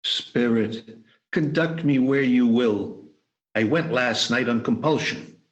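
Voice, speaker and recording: A man's voice: mid-range voice, slow, natural voice. A man voicing an old miser who has been humbled by a ghost, speaking in hesitant, stammering lines. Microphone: video call.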